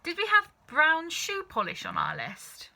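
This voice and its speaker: A woman's voice: extremely high pitch, wildly swooping pitch, a little slow, bright, forceful tone, voice pushed up, exaggerated voice. A woman voicing an earnest, eager young boy in a children's story, matter-of-fact in manner. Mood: thoughtful.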